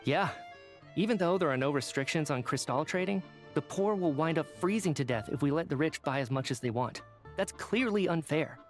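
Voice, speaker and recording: Guy voicing a nerdy earnest young researcher, animated game character, swoopy pitch, music underneath. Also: brisk, lightly acted. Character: earnest, oblivious young male government researcher. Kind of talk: video game voice acting.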